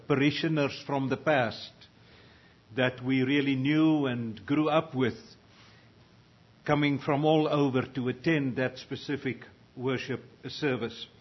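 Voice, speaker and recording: Older pastor, slow earnest sermon, kinda husky, muffled lo-fi audio. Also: male voice, mid-range voice. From South Africa. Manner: slow, earnest delivery, rising in volume for readings. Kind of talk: sermon with scripture reading. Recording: dull lo-fi sound.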